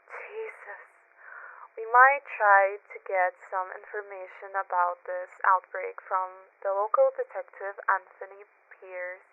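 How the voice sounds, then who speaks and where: A woman's voice: medium pitch, lively intonation, unhurried, dry recording, natural voice. A woman playing an earnest radio news host reporting on an unfolding crisis in a scripted broadcast. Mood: uneasy. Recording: muffled lo-fi radio broadcast sound.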